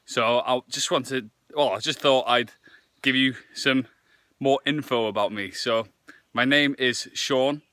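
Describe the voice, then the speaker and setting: Welsh man, bright clear voice. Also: medium pitch, measured pace, no echo, studio quality. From Wales. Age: 20s. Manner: chatty, hesitant with frequent ums. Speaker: fitness vlogger and nutritionist. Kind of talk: casual talk to camera. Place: outdoors on a morning walk.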